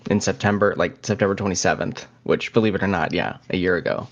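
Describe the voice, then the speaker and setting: A man in his twenties co-hosting a casual podcast, talking in a low-key, somewhat hesitant way. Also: fairly even intonation, fast. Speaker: podcast co-host. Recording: dull, lo-fi audio.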